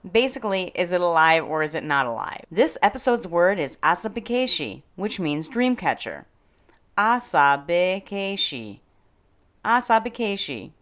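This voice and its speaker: Female podcast host, lower register, very animated intonation, scripted explainer with wry touches. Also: clean tone. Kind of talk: scripted educational podcast narration.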